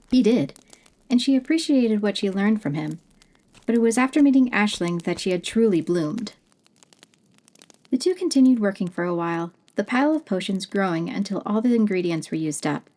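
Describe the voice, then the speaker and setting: A woman narrating a fantasy storybook at an easy, gentle pace. Her voice sits in an ordinary middle pitch. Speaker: audiobook narrator.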